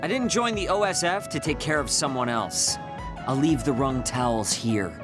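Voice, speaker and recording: A woman's voice: a bass voice, lively intonation, normal speed, pitched below the performer's own voice. A woman voicing a sour, prickly young man in a video game, grumbling and curt. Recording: music under the dialogue.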